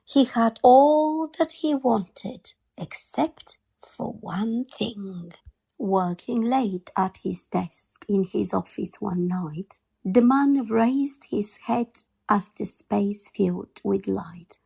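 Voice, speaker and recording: A woman reading a children's picture book aloud in an expressive storytime style, with deliberate, dramatic emphasis on key words. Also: mid-range voice, gravelly, husky.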